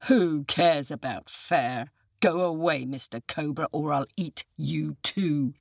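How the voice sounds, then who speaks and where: A woman's voice: sits low, creaky, no room sound, clean studio sound, heavily acted. Woman acting out a sly, hungry, roaring male tiger. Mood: menacing. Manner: roaring, gloating, drawn-out words.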